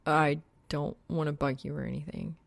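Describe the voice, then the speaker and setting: A sardonic, awkward young man voiced by a woman mumbles in a deadpan, hesitant way. The voice is low and creaky, like an audiobook hero who would rather not be talking. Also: moderate pace, no echo. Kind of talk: audiobook character acting.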